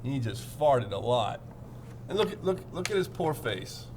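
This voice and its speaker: A man reads a children's picture book in an animated, swooping voice. He breaks off for informal, playful commentary, with noticeable ambient noise in the recording. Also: medium pitch, normal speed. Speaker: read-aloud storyteller. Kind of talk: picture book read-aloud with casual asides.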